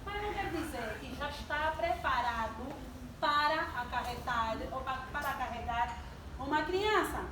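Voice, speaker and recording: Young woman in her twenties, high voice, preaching to a congregation and calling for responses; recorded from a distance with room noise. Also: animated, a little slow. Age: 20s. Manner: asks the audience questions and draws responses. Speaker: church youth speaker. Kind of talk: sermon-style talk to a congregation. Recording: distant mic in an echoey hall with background noise.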